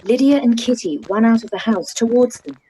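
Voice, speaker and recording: A woman narrating stage directions for a live-read radio play in a plain, even way. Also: on the high side, brisk, slightly rough, poor audio.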